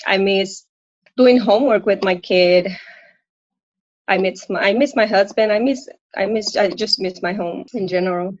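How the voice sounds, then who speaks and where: A woman's voice: medium pitch, steady tone, normal speed, dry recording. A woman from Puerto Rico with a Puerto Rican accent, Spanish her first language, talking earnestly and hesitantly with many restarts in a coaching session. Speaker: coaching client, working mom. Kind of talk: live coaching conversation. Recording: dull lo-fi dry audio.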